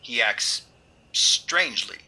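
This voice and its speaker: A man's voice: mid-range voice, lively intonation, pushed and bright, full character voice. A man playing an uncanny, mocking, mind-reading avenger in theatrical 1930s radio drama style. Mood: taunting, probing. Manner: slow, taunting, theatrical.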